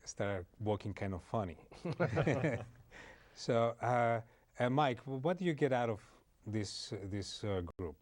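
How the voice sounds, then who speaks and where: A man's voice: medium pitch, expressive, takes it slowly, darkish tone. A man interviewing guests on a TV show, laughing often and restarting his questions. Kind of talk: casual interview.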